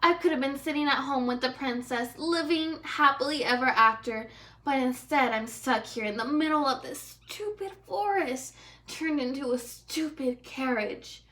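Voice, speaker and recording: A woman's voice: high-pitched, moderate pace, fairly bright tone. A woman voicing a frustrated, self-pitying prince from a storybook, in a plaintive, indignant manner. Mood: self-pitying, despairing. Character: frog prince, whiny frustrated self-pitying young man.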